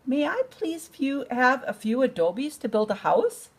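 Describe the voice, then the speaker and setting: A woman's voice: high voice, big pitch swings, measured pace, breathy edge, pitched above the performer's own voice, exaggerated voice. A woman voicing a plucky young female javelina from a storybook, polite but defiant, with emphatic delivery. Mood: polite asking.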